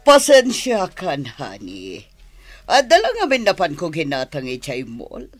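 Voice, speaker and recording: A woman's voice: low-pitched, huge pitch swings, unhurried, no room sound, soft background music, exaggerated voice. A woman playing a coy, sweet-talking wife in a radio drama.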